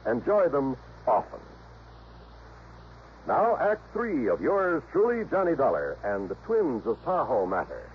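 An American man announcing in a scripted mid-century network radio style, formal and grandiose with carefully measured phrasing. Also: mid-range voice, wildly swooping pitch. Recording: muffled old radio broadcast, faint noise.

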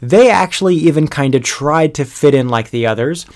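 A man's voice: fairly high pitch, lively intonation, moderate pace. A man narrating a history lesson in a casual, conversational way, with frequent fillers and restarts. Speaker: history educator.

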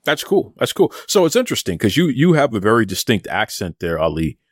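Male podcast host, lowish voice, dry close recording, encouraging, stumbles on repeats. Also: very animated, a little fast, slightly rough, no room sound. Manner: warm encouraging questioner, repeats words. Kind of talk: interview questions.

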